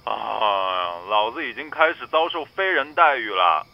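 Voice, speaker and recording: A man's voice: lowish voice, sing-song, a little slow, vocal fry, full character voice. An actor playing a brash, clingy, affectionate young male student in a Chinese audio drama, slangy and swaggering, heard through a telephone filter. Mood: boisterous, complaining. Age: young adult. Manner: slangy, swaggering yet needy. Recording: dull band-limited phone-call sound.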